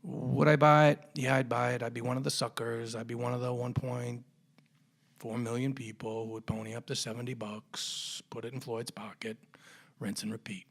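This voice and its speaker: A man's voice: medium pitch, flat delivery, moderate pace, creaky, husky. A man hosting a sports podcast, giving commentary in a punchy, colloquial way with showy flourishes. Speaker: podcast host.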